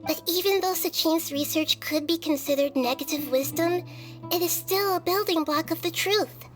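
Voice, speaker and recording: A high, childlike voice of a small goddess of wisdom. The delivery is serene and measured, formal like a philosopher, as in a video game, with music playing behind it. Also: female voice. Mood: serene, wise.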